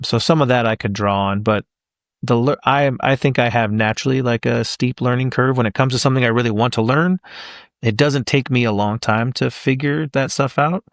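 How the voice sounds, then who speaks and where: A man's voice: medium pitch, no room sound, studio quality. A man in his thirties, a voice actor guest on a podcast, talking casually and thinking aloud with plenty of fillers.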